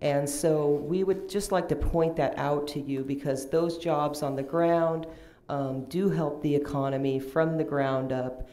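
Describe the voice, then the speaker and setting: A woman in her fifties, a union lobbyist, giving confident but halting testimony with many pauses at a county meeting through a table microphone. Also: low voice, fairly even intonation, mellow. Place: county commission hearing room, indoors. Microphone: table microphone, seated.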